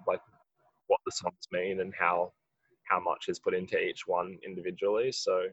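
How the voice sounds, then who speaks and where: Very low, husky man. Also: monotone, moderate pace, fairly bright tone, dry recording, lo-fi sound. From Australia. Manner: rambling, heavy use of "like". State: husky from late rehearsal. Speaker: musician in a band. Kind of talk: casual interview answers.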